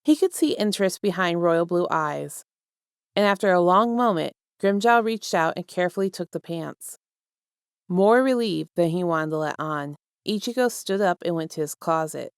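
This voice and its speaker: A woman's voice: on the low side, clean tone, no room sound, studio quality. A woman reading fan fiction aloud as a storyteller and speaking to her listeners. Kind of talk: scripted story narration, casual outro.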